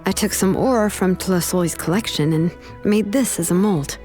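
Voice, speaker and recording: An actress playing a calm, reassuring young engraver and mentor in a video game story scene. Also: female voice, mid-range voice, close to an everyday voice. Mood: gently reassuring. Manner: calm, unhurried, gently reassuring. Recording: music under the voice.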